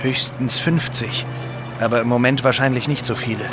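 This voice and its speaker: A man's voice: mid-range voice, steady tone, moderate pace, over music, natural voice. An actor playing a grave, knowledgeable, remorseful time traveller in a Victorian audio drama, speaking earnestly as he explains. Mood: earnest, warning. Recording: clear outdoor vehicle noise behind.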